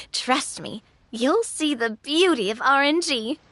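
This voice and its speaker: Bright, high, bold young adventurer. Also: female voice, expressive, slow pace, slight background noise, exaggerated voice. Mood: playful. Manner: eager, confident, chummy. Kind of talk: anime game voice acting. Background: music.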